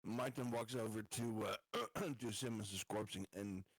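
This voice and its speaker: Guy narrating his character's moves, raspy husky voice, casual, dry audio. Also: fairly low pitch, steady tone, measured pace, no echo. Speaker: tabletop game player. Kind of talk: casual in-game description.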